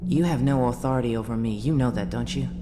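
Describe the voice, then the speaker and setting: An actor playing a gruff, defiant male operative in a video game, with a gravelly voice and a needling manner. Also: mid-range voice, fast talker, close to an everyday voice. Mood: defiant, grudging. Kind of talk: video game character acting. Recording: long reverb with music behind.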